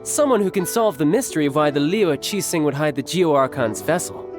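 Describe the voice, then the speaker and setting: A man voicing a suave young male operative in a video game, smooth, playful and insinuating, with a teasing edge. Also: on the high side, expressive, brisk, close to an everyday voice. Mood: suave, courteous. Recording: background music under the voice.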